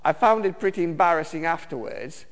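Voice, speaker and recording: A man from Romania, preaching a personal testimony sermon in a halting way, with many fillers and restarts. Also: a little high, animated. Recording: long reverb of a hall.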